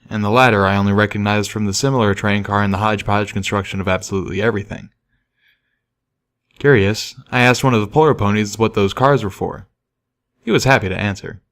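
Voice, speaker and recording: A man's voice: fairly low pitch, fairly bright tone. A man reading a scripted first-person story aloud as an audiobook narrator. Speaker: audiobook reader.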